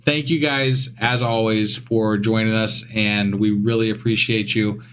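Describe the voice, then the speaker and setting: A man co-hosting a casual podcast, talking in a rambling, halting way with many restarts. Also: on the low side, level tone, fairly bright tone, smooth. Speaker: podcast co-host.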